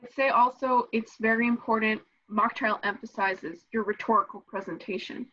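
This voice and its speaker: A young woman in college talking casually about mock trial on a video call, animated and playful. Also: on the high side, normal speed, a little hiss or hum. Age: young adult. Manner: animated, acts out quotes in funny voices. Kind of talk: casual Zoom chat. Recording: muffled video call audio.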